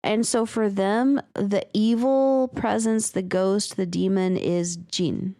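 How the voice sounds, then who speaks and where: A woman talks casually as a podcast co-host discussing fiction. Her voice sits at a middle pitch. She is candid and swears freely, in a dry recording with little room sound. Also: fairly even intonation, takes it slowly, dark tone, no echo.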